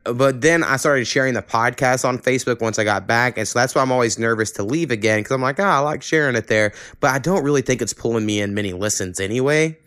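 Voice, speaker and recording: A man's voice: medium pitch, fast, few pauses, fairly bright tone, smooth, no room sound, clean studio sound. A man hosting a podcast in a casual, conversational chat. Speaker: podcast host.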